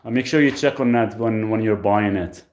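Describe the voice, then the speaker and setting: A man's voice: on the low side, normal speed. Easygoing man from Lebanon on a livestream. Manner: relaxed, rambling, reads comments aloud then answers. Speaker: live stream host answering health questions. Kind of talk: casual live chat reading viewer questions. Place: rural property, country home.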